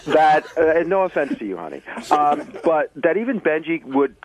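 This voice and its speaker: A man's voice: on the high side, normal speed, low-quality recording. A man arguing casually on the radio, blunt and scolding.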